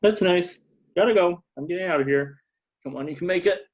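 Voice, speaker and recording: Man playing irritable male programmer, frantic, exasperated muttering, higher-pitched voice, drama table read, slightly dull recording. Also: a little fast. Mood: rattled, irritable. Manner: muttering, exasperated, talks to himself. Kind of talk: informal script table read.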